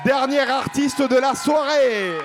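A man with a markedly high voice hosts a live music show. He chats informally with his guest on stage, chummy and ready to tease, keeping the interview casual. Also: takes it slowly, crowd noise.

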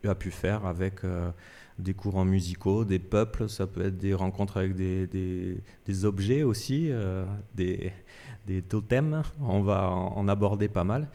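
A low, mellow-voiced male radio interviewer. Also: measured pace, in a large hall. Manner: hesitant, many fillers and restarts. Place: cultural centre venue.

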